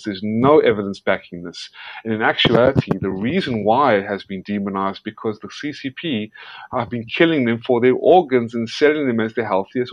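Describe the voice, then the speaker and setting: A man's voice: lowish voice, no room sound. A man from South Africa, a guest investigative journalist, answering interview questions earnestly and emphatically, often stumbling and repeating words. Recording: dull, dry lo-fi sound.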